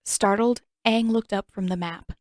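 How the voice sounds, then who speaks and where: A woman narrating her own fan story aloud as a podfic reader, in a steady storytelling manner. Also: medium pitch, moderate pace, dry recording. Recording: dry close recording.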